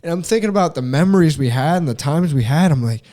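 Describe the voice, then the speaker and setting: Mellow young man musing casually. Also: a little high, animated, quick pace. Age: young adult. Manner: earnest, rambling, heavy use of "like" and "dude". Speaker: podcast co-host. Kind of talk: casual chat.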